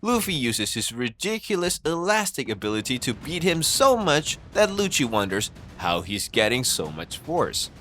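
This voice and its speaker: A man's voice: medium pitch, big pitch swings, normal speed, hardly any pauses, pushed and bright. A man reading scripted narration for an explainer video, recorded as a voice-over. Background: background music.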